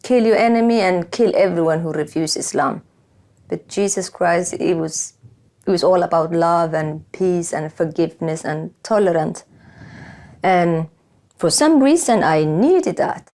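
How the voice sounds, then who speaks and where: Somali woman being interviewed, lowish soft-edged mellow voice, halting english, repeats herself. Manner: halting, repeats words, non-native English. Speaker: interviewee, activist. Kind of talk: interview testimony.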